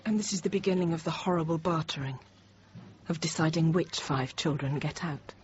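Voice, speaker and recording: An actress in her thirties playing a frightened but composed French teacher held hostage, narrating quietly, often near a whisper. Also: deep voice, brisk, close to an everyday voice. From France. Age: 30s. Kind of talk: first-person dramatic narration. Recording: dull noisy radio drama recording.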